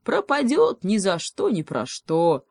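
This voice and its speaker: A woman's voice: mid-range voice, huge pitch swings, takes it slowly, a little mellow, dry recording, full character voice. A woman voicing a mournful male moose in a children's story, lamenting in a funereal tone. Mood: mock mourning.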